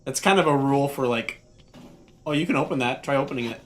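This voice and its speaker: A man's voice: medium pitch, quick pace, a little hiss or hum. A man joking with a friend and giving directions while they play a horror game. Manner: teasing, laughing, giving directions. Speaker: gamer co-commentating. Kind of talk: casual gaming banter.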